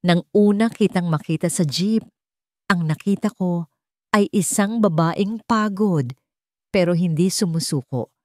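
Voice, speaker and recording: A woman's voice: on the low side, measured pace, a little mellow, no room sound, clean studio sound, close to an everyday voice. A woman voicing an earnest, devoted young businessman making sincere, solemn declarations in a sentimental audio love story. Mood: tender, earnest.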